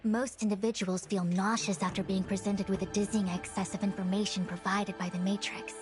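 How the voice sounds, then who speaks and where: A wise, composed female diviner acted by a woman from an anime-style game, in a medium register. Her delivery is formal, measured and authoritative, over clearly audible music. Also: mid-range voice, little pitch movement, lightly acted. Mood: analytical.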